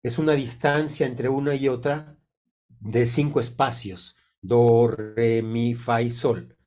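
An older man in his sixties speaks Spanish with a Mexican accent, his voice congested from a cold. He teaches informally over a video call at a slow pace, pausing often as he deliberately searches for each phrase. His pitch sits in an ordinary middle range for a man. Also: steady tone, a little mellow. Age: 60s. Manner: halting, deliberate, with many pauses. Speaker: sound therapy teacher. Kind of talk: informal explanatory online talk. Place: indoor room on a video call. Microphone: computer mic on a video call.

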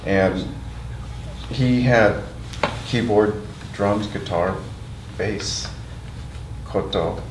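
A man's voice: fairly low pitch, extremely slow. A man in his sixties telling candid, enthusiastic stories in a group interview. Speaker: interviewee, musician. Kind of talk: group interview, personal recollection. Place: museum meeting room, seated in a group. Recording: roomy room, faint noise.

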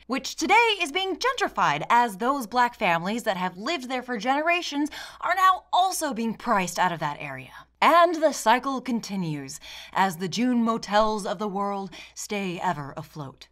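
A woman's voice: on the high side, sharp tone. An American woman from Michigan narrating a scripted video essay in a sardonic manner. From Michigan, United States.

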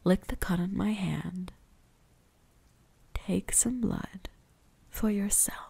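A breathy, husky whisper with a creaky edge, dark and soft. It is a possessive vampire huntress played by a woman in an ASMR roleplay, slowly teasing and commanding her prey with quiet menace. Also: mid-range voice, dry recording, heavily acted. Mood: hypnotic, commanding.

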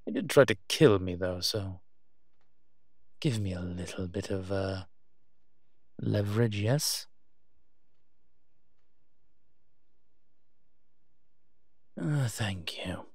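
A man's voice: fairly low pitch, darkish tone, no echo, clean studio sound. A man playing a refined, centuries-old vampire in a roleplay audio drama, pompous, wry and teasing. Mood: dry, fussy. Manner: pompous, wry, posh turns of phrase.

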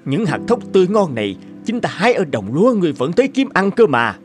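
Old male farmer, animated folk tale, emphatic drawn-out delivery, lively intonation, music underneath. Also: sits high. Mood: puzzled. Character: stern old rice farmer who turns remorseful. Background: music behind the voice.

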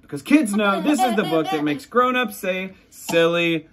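A man's voice: high voice, lively intonation, normal speed, crowd noise. A man in his thirties reading a picture book aloud to young children, playful and theatrical, with mock reluctance and exaggerated silly voices. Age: 30s. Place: child's bedroom at home. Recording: children laughing and chattering behind.

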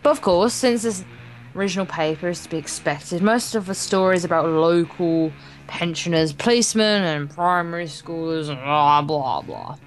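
A woman's voice: lowish voice, animated, moderate pace, low-quality recording. A woman co-hosting a let's play, reading a visual novel aloud with playful, casual banter. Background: soft guitar music.

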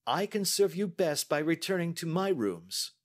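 A man's voice: fairly high pitch, sing-song, moderate pace, voice pushed up. A man reading aloud and voicing a middle-aged male detective in a precise, formal, assured manner.

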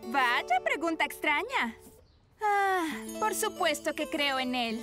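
Very high-voiced, haughty teen diva. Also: female voice, very animated, takes it slowly, full character voice. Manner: haughty, dramatic. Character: vain, self-important teenage diva girl. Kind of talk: cartoon voice acting. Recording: loud music behind the voice.

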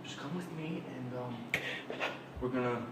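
A man's voice: mid-range voice, measured pace. A young man talking casually through a demonstration in a self-defense gym. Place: martial arts gym with mats. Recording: very echoey, noisy gym, distant microphone.

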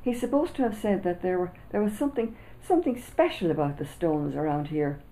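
A woman's voice: lowish voice, very animated, brisk, mellow, low-quality recording. A woman voicing an easygoing, grinning roly-poly boy in a storybook reading, speaking with confident, knowing ease.

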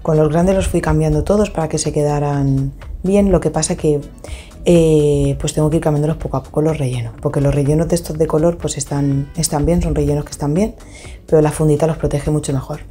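Woman, 30s, low mellow voice, chatty cleaning-vlog voice-over, loose with restarts. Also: fairly even intonation, a little fast, soft background music. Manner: chatty, detailed, with frequent restarts and fillers. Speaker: home cleaning vlogger. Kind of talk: casual voice-over narration of a clean-with-me video.

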